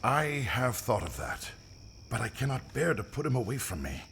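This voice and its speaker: A man's voice: medium pitch, sing-song, a bit bright, slight background noise. An actor playing a weary, formal king and worried father in an audio drama, speaking in grave, stately phrases. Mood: troubled. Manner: formal, stately, measured archaic speech.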